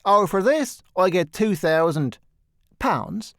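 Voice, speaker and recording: A man reading a storybook voices a brash, rough male painter with an emphatic, declaiming manner. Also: high-pitched, expressive, slow, a bit bright, no echo, studio quality, exaggerated voice. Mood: brash, dismissive.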